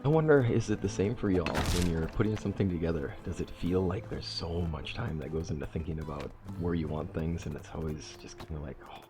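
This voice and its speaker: A man's voice: sits low, fairly quick, music in the background. A man with a stuffy nose from a cold, hosting a casual game playthrough and thinking aloud as he reworks his plans. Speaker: let's play host. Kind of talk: casual gameplay commentary.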